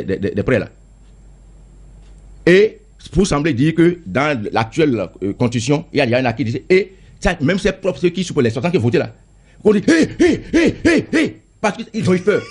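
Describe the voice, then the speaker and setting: Brief: man, MP in a heated debate, husky, rapid, insistent, repeats himself. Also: a little high, big pitch swings. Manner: insistent, repeats and stammers on words. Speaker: member of parliament.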